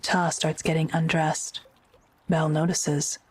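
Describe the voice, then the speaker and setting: Lady narrating audio drama, low voice, pretty flat, matter of fact, some ambience noise behind. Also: a little slow.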